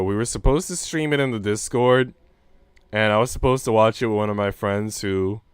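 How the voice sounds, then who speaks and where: A man's voice: mid-range voice, clean tone, no room sound. A young man hosting a livestream, speaking informally into a close mic. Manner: slangy, swearing, informal. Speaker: livestream host. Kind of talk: casual livestream chat.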